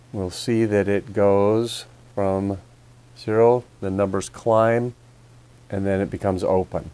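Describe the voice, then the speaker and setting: Low-pitched man explaining a repair. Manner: methodical, step-by-step explaining. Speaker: repair video host. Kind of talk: casual hands-on repair walkthrough.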